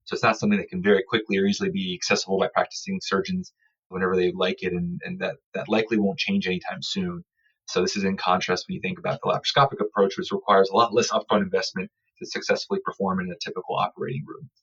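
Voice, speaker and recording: Deep voiced guy explaining a study, talks fast, formal and technical, slightly muffled audio. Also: fairly even intonation, a bit bright, breathy edge. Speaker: surgical researcher interviewed as study author. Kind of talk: formal, explanatory research interview.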